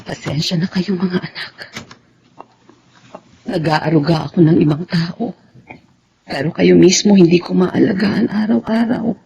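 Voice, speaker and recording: Gentle Filipino mother, slightly muffled sound. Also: fairly low pitch, unhurried, gravelly, long pauses, slight background noise. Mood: remorseful, pleading. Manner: gentle, pleading, earnest. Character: humble, self-sacrificing widowed nanny and mother. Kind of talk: Filipino radio drama acting and narration.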